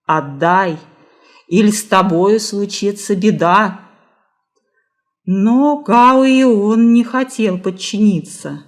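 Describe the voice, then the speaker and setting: Woman, very slow pace, expressive verse reading, measured dramatic storytelling, medium pitch. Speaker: reader, library staff.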